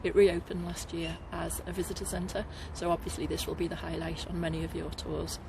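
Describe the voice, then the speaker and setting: A woman's voice: lowish voice, level tone, fairly quick, creaky, noisy background. A middle-aged woman with a Liverpool accent, a tour guide chatting casually to the camera outdoors and laughing often. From Liverpool, England. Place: outdoors at a garden site.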